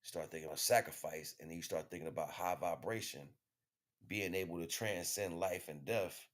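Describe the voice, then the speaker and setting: A man's voice: low-pitched, fairly even intonation, normal speed. An American man giving a casual spiritual monologue as a livestream host, in slangy, profane, conversational speech.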